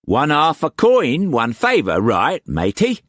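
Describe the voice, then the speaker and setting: A swaggering pirate boss with a harsh Cockney accent, as a man puts him on. He talks high and loud, jovial but with menace beneath every offer of food. The storybook recording sounds dry and close. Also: wildly swooping pitch, slow pace, no echo, exaggerated voice. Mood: boisterous. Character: boisterous pirate chief man in Cockney slang.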